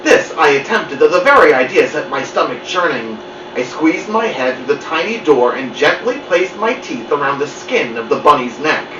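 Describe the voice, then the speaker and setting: Male story-time reader, expressive children's narration, somewhat high voice, distant echoey room sound. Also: moderate pace, noisy background. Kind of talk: animated children's book narration. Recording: muffled, roomy, distant mic.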